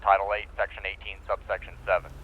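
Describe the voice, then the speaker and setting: Man playing a deep, fast-talking patrol officer. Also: flat delivery, natural voice. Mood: businesslike. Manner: formal, procedural, clipped. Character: businesslike male patrol officer on police radio. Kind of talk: police radio roleplay. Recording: dull radio audio, faint noise.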